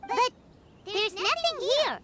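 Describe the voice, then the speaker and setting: A woman voicing a small, childlike, excitable floating fairy companion in a video game, with an exclamatory, cartoonish manner. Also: very high voice, takes it slowly, over a noisy crowd, heavily acted. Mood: anxious. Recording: game music behind the voice. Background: loud game music.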